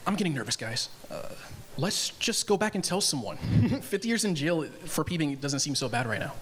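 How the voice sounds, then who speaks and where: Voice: medium pitch, expressive, normal speed, a little hiss or hum, lightly acted. A performer reading an anxious, whiny teenage boy at a live table read. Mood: whiny scared.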